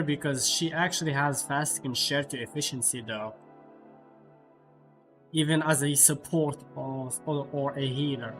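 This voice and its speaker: A man hosting a game livestream with casual, halting commentary, often repeating himself. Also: medium pitch, fairly bright tone. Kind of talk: casual live gameplay commentary.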